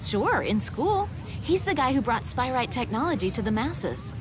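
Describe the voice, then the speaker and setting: A woman's voice: high voice, very animated, moderate pace, very noisy. An actress playing a chatty, friendly townswoman in a video game. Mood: breezy.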